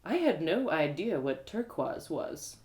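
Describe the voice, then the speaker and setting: A woman storyteller reading first-person fiction aloud in an expressive, theatrical way. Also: sits low, unhurried. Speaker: author and storyteller.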